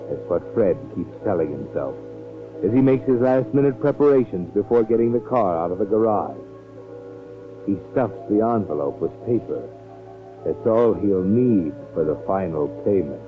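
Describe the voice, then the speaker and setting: An actor playing a sardonic, ominous male narrator of a 1940s radio mystery, deliberate and knowing. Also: on the low side, lightly acted. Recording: tinny old radio recording with music.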